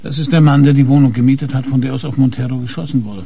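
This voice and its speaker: An actor playing a dogged, short-tempered police inspector in a radio crime drama, gruff and curt. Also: male voice, medium pitch, steady tone, quick pace, mellow, natural voice. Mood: pressing.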